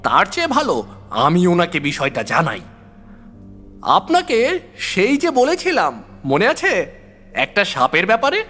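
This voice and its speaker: Man playing an exasperated male friend, high strained-up pitch, swooping complaints, colloquial, horror audio story, music behind. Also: huge pitch swings, unhurried, long pauses, bright, forceful tone, full character voice. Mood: exasperated, urgent. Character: excitable, exasperated male friend pleading for help.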